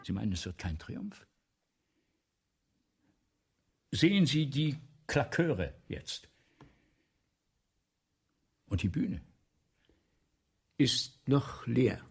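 A vain, self-dramatizing male playwright for a radio drama. His gravelly delivery is slow and theatrical, swinging wildly from grand exclamation down to a murmur. He sounds excitable and full of himself. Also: medium pitch, exaggerated voice. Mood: anxious, brooding. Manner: theatrical, declaiming, abruptly dropping from exclamation to murmur. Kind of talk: German radio play monologue.

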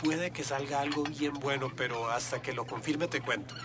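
An actor playing a busy, keen media trainer with a Central American accent, chatting in a radio drama. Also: male voice, mid-range voice, normal speed, over music, lightly acted. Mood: upbeat. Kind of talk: radio drama acting, casual chat.

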